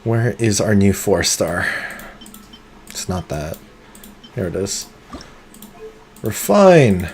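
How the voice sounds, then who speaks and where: A man streaming a game live, talking through it in an excitable, competitive way, with sudden gasps and pleading. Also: fairly low pitch, takes it slowly, long pauses, a little hiss or hum. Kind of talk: live gameplay commentary.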